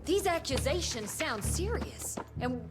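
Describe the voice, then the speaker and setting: Polite, higher-voiced government aide. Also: female voice, sing-song, unhurried, over music. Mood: cautious. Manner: polite, hesitant. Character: diplomatic, deferential female government aide. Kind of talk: dramatic video game cutscene acting.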